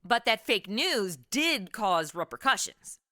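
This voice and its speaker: A woman's voice: mid-range voice, very animated, takes it slowly, pushed and bright. A woman hosting a podcast, putting scripted but conversational questions to a guest in an inquisitive way. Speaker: podcast host interviewing a guest.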